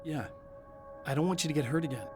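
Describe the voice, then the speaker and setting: A man's voice: on the high side, quick pace, natural voice. An actor playing a tense, determined male writer who gives firm, clipped orders in a video game. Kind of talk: video game cutscene acting. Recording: game audio over music.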